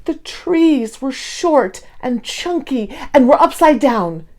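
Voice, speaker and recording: A woman's voice: high-pitched, lively intonation, takes it slowly, a little mellow. A woman voicing a wide-eyed, imaginative young child telling a storybook adventure. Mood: amazed. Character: young child narrator full of wonder and imagination.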